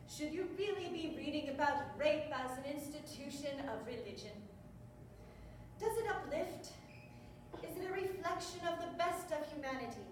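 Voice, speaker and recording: A woman on stage playing a prim, moralizing prosecutor who addresses a jury formally with rhetorical questions. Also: sits high. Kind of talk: live stage readers theater. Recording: distant mic, echoey room, clear noise.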